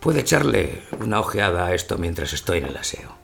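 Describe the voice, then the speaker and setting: An actor playing a gruff, self-assured photographer in his fifties in an audio drama, gravelly and terse. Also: low-pitched, big pitch swings, fairly quick, music in the background, full character voice. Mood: brusque. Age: 50s. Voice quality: gravelly, creaky. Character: gruff handless door-to-door photographer, man of fifty, self-assured.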